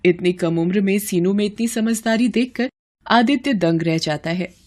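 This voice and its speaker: A woman's voice: medium pitch, normal speed, darkish tone. A woman storyteller reading a scripted story as narration.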